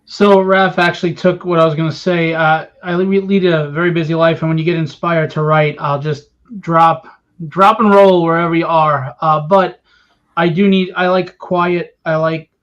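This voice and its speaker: Higher-voiced man on dull lo-fi audio. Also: steady tone, normal speed, fairly bright tone. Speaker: panel guest, writer. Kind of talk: casual conversational answer.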